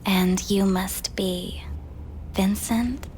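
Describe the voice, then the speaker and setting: A woman playing a soft, probing, hypnotic pleasure-doll companion in a video game, speaking intimately and often in a whisper. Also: medium pitch, steady tone, drawn-out words, slight background noise.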